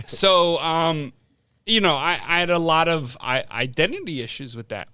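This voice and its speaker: Clear-voiced man telling personal stories casually. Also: on the high side, lively intonation, moderate pace, sharp tone, no echo. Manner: informal, sweary, punctuates talk with "right?". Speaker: podcast guest.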